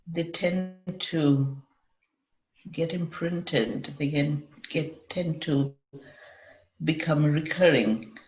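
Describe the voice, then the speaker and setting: Slow-speaking woman guiding a meditation. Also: sits low, a little mellow. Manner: measured, pausing often mid-sentence. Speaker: meditation guide. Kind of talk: guided meditation, semi-improvised. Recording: dull lo-fi, echoey room.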